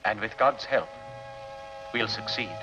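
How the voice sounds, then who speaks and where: Brief: resolute vampire hunter, solemn, muffled film audio. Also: male voice, lowish voice, measured pace, sharp tone, faint music behind. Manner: solemn, determined. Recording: dull lo-fi film soundtrack.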